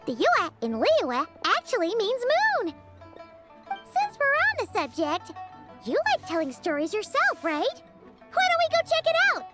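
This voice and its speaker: A woman voicing a small, excitable, cheeky girl companion in a video game, squeaky and childlike with perky exclamations. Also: measured pace, over loud music, exaggerated voice. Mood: chatty, explaining. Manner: perky, exclaiming, talks of herself by name. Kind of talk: video game character acting.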